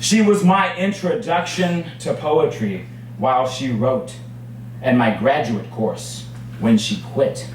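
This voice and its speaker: A man performing his own poetry at a live reading, with measured, dramatic phrasing and deliberate pauses. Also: medium pitch, lively intonation. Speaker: poet reading own work. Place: indoors at a cafe poetry reading. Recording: very echoey room, distant mic, faint noise.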